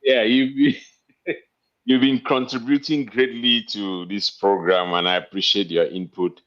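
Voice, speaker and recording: A man in his fifties with a Cameroonian accent, talking politely and haltingly as an interview guest. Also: fairly low pitch, little pitch movement, a bit bright. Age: 50s. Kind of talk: casual interview talk. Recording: dull, lo-fi internet call audio.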